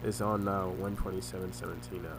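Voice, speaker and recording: Halting, congested man. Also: low-pitched, flat delivery, gravelly, background noise. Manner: halting, restarts and repeats words often. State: congested, sore throat. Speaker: vlogger recounting family conflicts. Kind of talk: rambling personal monologue over a screen recording.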